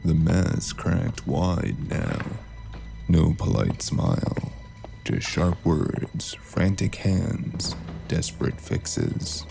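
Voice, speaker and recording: Man as a grim, gravelly noir narrator. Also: extremely low pitch, very animated, music in the background, heavily acted. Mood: grim, ominous. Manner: clipped phrases, ominous, deliberate.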